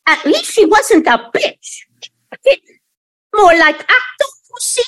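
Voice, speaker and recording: A woman in an audio play acting an earnest, effusive younger daughter who smooths things over but bristles easily. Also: extremely high pitch, very animated, slow pace, pushed and bright, exaggerated voice, poor audio. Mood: stung.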